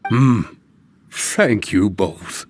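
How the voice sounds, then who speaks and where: An actor voicing a kindly elderly male winery worker in a game cutscene, speaking gently and fondly. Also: fairly low pitch, background noise. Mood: delighted. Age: older. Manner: gentle, fond, unhurried. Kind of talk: video game cutscene dialogue. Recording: game audio with background music.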